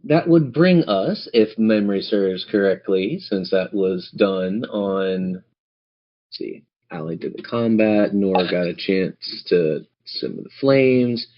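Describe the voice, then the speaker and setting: Man, game master, fairly low pitch, heavy vocal fry, theatrical descriptive narration, dull lo-fi sound. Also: animated, slow pace. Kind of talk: narrating a tabletop role-playing game. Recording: dull lo-fi audio.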